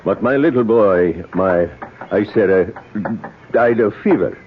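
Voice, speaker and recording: An actor playing a grieving native man in a radio drama, outwardly polite and secretly vengeful, speaking in slow, deliberate, formal phrases. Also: on the low side, lightly acted. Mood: solemn, persuasive. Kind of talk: 1970s radio drama acting. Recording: noisy, dull old radio broadcast.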